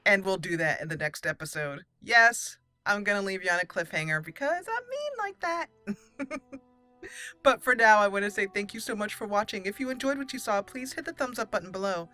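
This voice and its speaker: Bright-voiced woman narrating gameplay. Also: medium pitch, big pitch swings, brisk, soft background music. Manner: chatty, playful. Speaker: let's play host. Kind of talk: casual gameplay commentary with some roleplay.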